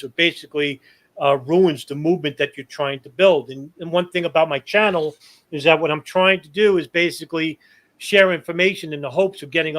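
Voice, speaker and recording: A man's voice: on the high side, fairly bright tone. A man in his fifties speaking as a guest, casual but earnest and emphatic about his opinions. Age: 50s. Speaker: talk show guest and online activist.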